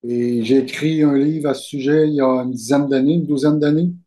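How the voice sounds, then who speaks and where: A man from Quebec with a Québécois accent, speaking as a guest author in a conversational interview over a video call, in a slow, measured, deliberate way. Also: fairly high pitch, steady tone, warm tone. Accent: Québécois French. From Quebec, Canada.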